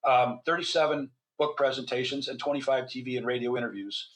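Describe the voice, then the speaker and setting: A man's voice: mid-range voice, fairly even intonation, fairly quick, a bit bright, low-quality recording. An older man speaking as an interview guest, telling anecdotes in a rambling, conversational way. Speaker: guest author and physician.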